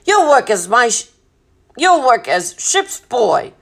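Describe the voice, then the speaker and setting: Storybook captain female reader: old, blustering male seaman, loud and commanding, gravelly edge. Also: fairly high pitch, sing-song, takes it slowly, voice pushed up, exaggerated voice. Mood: roaring, impatient. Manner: bellowing, salty old-time sailor talk. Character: blustering, gruff old sea captain, bellowing bull of a man.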